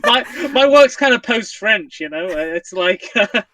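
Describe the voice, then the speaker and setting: A high, animated young man trading jokes on a podcast. His tone is bright and playful, and he sits a little back from the mic in a dry room. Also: measured pace, distant mic, a little hiss or hum. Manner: playful, joking, giggly. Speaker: podcast co-host. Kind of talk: casual chat.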